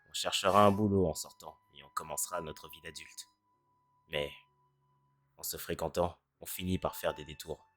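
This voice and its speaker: A performer voicing a cynical, self-deprecating teenage boy in a French fan dub who speaks in a deadpan way. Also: rumbling low, moderate pace. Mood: earnest. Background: faint music.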